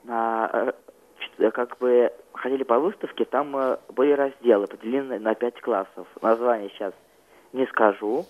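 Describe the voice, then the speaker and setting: Halting teenage guy, slightly dull sound. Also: mid-range voice, steady tone. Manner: halting, hesitant, searching for words. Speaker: student guest on a radio programme. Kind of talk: interview answers, recounting experiences.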